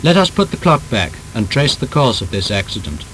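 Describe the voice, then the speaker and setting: A man with a British accent reads a scripted 1930s instructional film narration in a formal, emphatic, measured manner. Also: very animated, fairly bright tone. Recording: noisy old film soundtrack with rumble.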